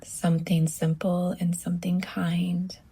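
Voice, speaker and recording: A woman guiding a relaxation meditation as a yoga teacher, in a hushed, breathy near-whisper, gently and with long pauses between phrases. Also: on the low side, little pitch movement.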